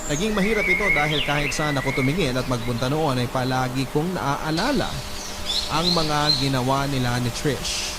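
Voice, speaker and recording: A man's voice: medium pitch, moderate pace, very noisy. A man reading a listener's story aloud as dramatic scripted narration. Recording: loud music under the voice.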